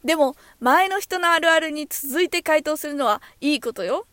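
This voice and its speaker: A woman playing a brisk, strict but encouraging schoolteacher of samurai pupils, instructing emphatically. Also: high-pitched, a bit bright, slight background noise.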